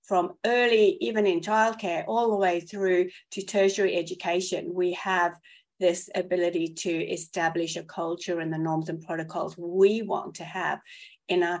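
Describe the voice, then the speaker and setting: A woman with a South African accent, co-hosting an education podcast in an enthusiastic, emphatic way. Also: medium pitch. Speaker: podcast co-host. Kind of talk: casual chat.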